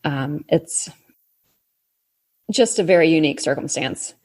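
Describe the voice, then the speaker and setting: A woman's voice: sits low, a little slow, darkish tone. A woman from Texas with a faint Texas accent, an author explaining things patiently to a child in a casual interview. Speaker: author guest.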